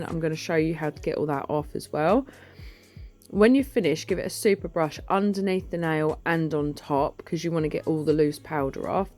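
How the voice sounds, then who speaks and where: A woman with a husky voice giving a chatty, informal voice-over for a nail tutorial, recorded on a phone. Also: deep voice, a little mellow. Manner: chatty, informal, self-deprecating. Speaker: nail artist and educator.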